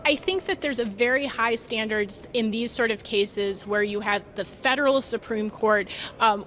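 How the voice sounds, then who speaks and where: A woman news reporter giving live analysis from a remote feed, with emphatic, deliberate phrasing. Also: fairly high pitch, a bit bright, a little hiss or hum.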